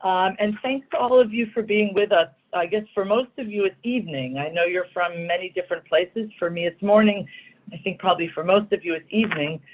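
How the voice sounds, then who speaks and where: A woman's voice: on the low side, a little fast. A woman law school dean opening a lecture over a video call, gracious and articulate as she thanks her hosts. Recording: tinny phone-like audio.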